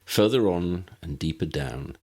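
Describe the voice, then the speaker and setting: A man's voice: low voice, expressive, a little slow, a little mellow, husky. A man reading a story aloud as a scripted audiobook narration.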